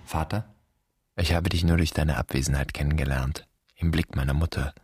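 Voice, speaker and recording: A wounded son searching for an absent father in a literary radio drama. The delivery is solemn and poetic, in a very low, breathy-rough voice, close and dry. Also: steady tone, normal speed, a little mellow, dry recording. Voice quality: husky.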